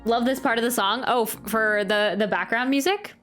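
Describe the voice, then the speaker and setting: A woman's voice: a little high, normal speed. A woman streamer reacting to a game and chatting casually, rambling and often interrupting herself. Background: soft game music.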